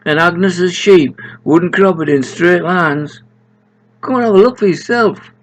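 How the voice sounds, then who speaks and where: Older man doing an indignant laid-back slangy grower, high-pitched. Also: unhurried. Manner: slangy, complaining. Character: laid-back, aggrieved male grass grower.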